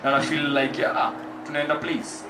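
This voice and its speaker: A man's voice: on the high side, completely flat delivery, normal speed, echoey room, noisy background. A Kenyan man hosting a poetry night and reciting rhyming spoken word, switching between Swahili slang and English. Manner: rhythmic rhyming delivery, mixing Swahili and English. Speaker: poetry event host and spoken word poet. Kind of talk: live stage hosting and spoken word in Sheng. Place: large hall with live audience.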